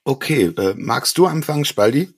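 A man's voice: mid-range voice, animated, normal speed, vocal fry, slightly rough. A man co-hosting a podcast in casual, colloquial conversation with friends. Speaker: podcast co-host. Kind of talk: casual chat among friends.

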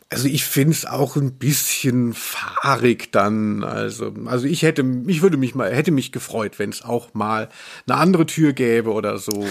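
A man hosting a podcast in casual discussion, talking in a halting way as he searches for his words. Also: medium pitch, gravelly, slightly rough. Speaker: podcast host, culture journalist.

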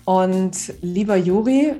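A woman's voice: mid-range voice, slow, dark tone. Woman hosting a podcast, faint music behind. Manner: hesitant, many fillers. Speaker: podcast host. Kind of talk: casual podcast interview.

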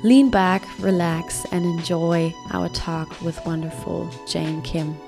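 A woman host introducing a podcast guest in a measured, gentle scripted intro. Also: fairly low pitch, fairly even intonation, gravelly. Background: soft music.

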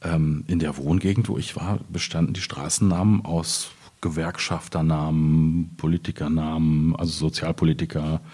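Middle-aged male poet, very low husky voice, reflective and halting in literary discussion. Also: little pitch movement, dark tone. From Ruhr area, Germany. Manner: thoughtful, halting, searching for words while speaking. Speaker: poet discussing his work. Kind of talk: reflective literary panel talk.

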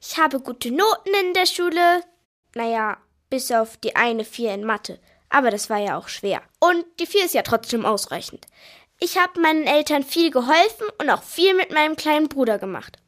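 A high, childlike girl's voice acted by a woman in a children's radio play. She is eager and pleading, insisting like a nine-year-old with her heart set on something. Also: animated, normal speed, a bit bright. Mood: earnest, hopeful.

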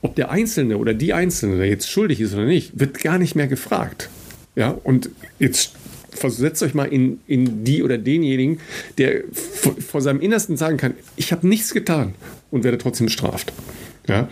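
A man hosting a podcast interview, talking in a halting way with many fillers and tag questions like "ja?" and "ne?". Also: mid-range voice, creaky, a little mellow, slight background noise. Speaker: podcast host.

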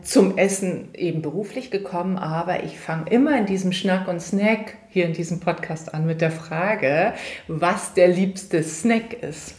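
A woman hosts a podcast and chats along with lively, rambling questions, laughing often. Her voice sits at a middle pitch and rings in a roomy space. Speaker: podcast host. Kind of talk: casual podcast interview.

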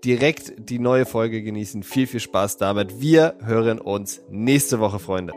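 A man's voice: on the low side, a little slow, darkish tone, faint music behind. Man with a cold hosting a podcast. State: has a cold. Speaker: podcast host. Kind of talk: casual podcast chat.

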